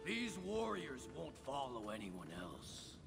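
Proud, gravelly samurai warlord. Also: male voice, medium pitch, huge pitch swings, takes it slowly, heavily acted. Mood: defiant. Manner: imperious, declamatory. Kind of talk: dramatic video game acting. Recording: loud cinematic music behind.

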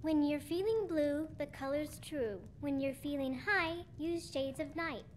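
High-voiced, eerie little girl. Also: unhurried, a little hiss or hum. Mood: sing-song. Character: eager, innocently morbid little girl. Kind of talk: video game character acting.

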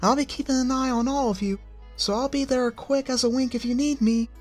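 A man voicing a shy, gentle female pegasus teacher who speaks softly and hesitantly. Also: very high voice, steady tone, a little fast, faint music behind, voice pushed up. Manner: soft, hesitant, stammering.

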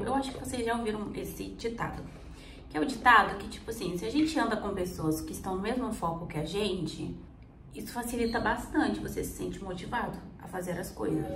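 A woman in her twenties with a cold, chatting casually to her viewers while she does her makeup, rambling and asking herself questions. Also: mid-range voice. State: has a cold. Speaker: vlogger. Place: small indoor room. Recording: roomy, slightly distant mic, faint hum.

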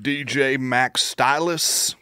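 Man as sharp, curt menacing messenger. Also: mid-range voice, steady tone, takes it slowly, smooth, dry recording, clean studio sound. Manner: curt, formal. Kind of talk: audio drama dialogue.

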